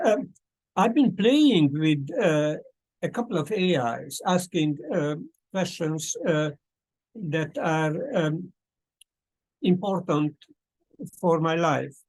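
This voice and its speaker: A man hosting a video call, his voice sitting a touch high for a man. He speaks very slowly and thoughtfully, hesitating often and filling the gaps with small sounds as he gathers his thoughts. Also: a little mellow, rough recording. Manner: slow and thoughtful, with many pauses and fillers. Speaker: host. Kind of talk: casual talk at an online meeting.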